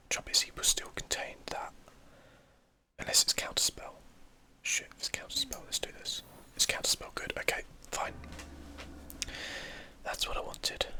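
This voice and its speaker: A man whispering ASMR commentary over a game, musing and thinking aloud in short phrases. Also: sharp tone. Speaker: gaming video host.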